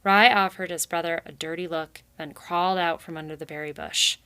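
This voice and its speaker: A woman's voice: on the low side, steady tone, fairly quick, bright, forceful tone, clean tone. A woman narrating a children's story as she reads it aloud. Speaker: reader.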